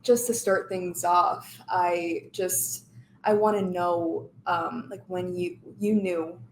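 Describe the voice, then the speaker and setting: A woman's voice: lowish voice, fairly even intonation, slow, a little hiss or hum. A young adult woman, a student interviewing her mentor, who talks hesitantly with many "like"s and "um"s. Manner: hesitant, lots of "like" and "um". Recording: echoey room with faint ambience.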